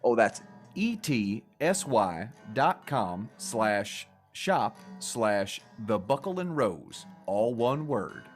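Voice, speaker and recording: A man's voice: medium pitch, very animated, slow pace. A man acting a friendly, folksy cowboy-style shopkeeper in a comic radio advert, with an obliging drawl. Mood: salesman-like. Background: plucked guitar music.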